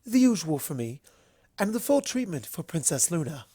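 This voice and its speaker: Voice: medium pitch, big pitch swings, moderate pace, warm tone, breathy edge, pitched above the performer's own voice. A narrator voicing a refined, eager-to-please female unicorn in a storybook reading, with prim, gushing politeness. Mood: breezy, assured. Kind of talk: storybook character voice.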